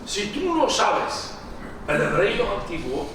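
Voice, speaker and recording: A middle-aged Iraqi-accented man gives an emphatic church talk in a fairly high voice, repeating himself and restarting words. He sounds far from the microphone, and his voice rings through a very echoey hall. Steady background noise runs underneath. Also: takes it slowly. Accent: Iraqi Arabic. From Iraq. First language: Aramaic. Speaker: guest lecturer. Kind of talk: church lecture.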